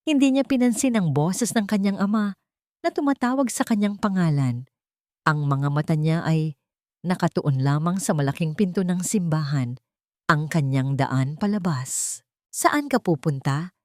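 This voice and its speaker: Low-voiced woman narrating drama. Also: normal speed, darkish tone, no echo, clean studio sound. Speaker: story narrator. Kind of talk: dramatic scripted narration of a love story.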